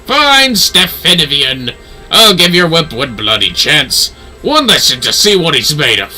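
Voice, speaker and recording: A gruff game gunsmith, played by a man, grumbles in a forceful, sharp voice. He is blunt and scornful, with rough slang and a grudging air. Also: fairly high pitch, very animated, unhurried, faint music behind, full character voice. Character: gruff, foul-mouthed, grudging male gunsmith instructor.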